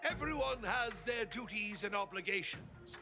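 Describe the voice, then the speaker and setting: A man's voice: high-pitched, expressive, normal speed. A man voicing an elderly, dutiful guild master who speaks formally and with resignation. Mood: resolute. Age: older. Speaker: game character. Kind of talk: video game voice acting. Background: game music.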